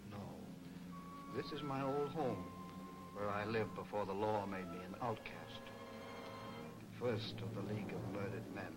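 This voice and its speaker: A man playing a soft-spoken criminal mastermind professor in a 1940s movie serial, measured, formal and polite. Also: lowish voice, very noisy, lightly acted. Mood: cool, guarded. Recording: old 1940s film soundtrack with music.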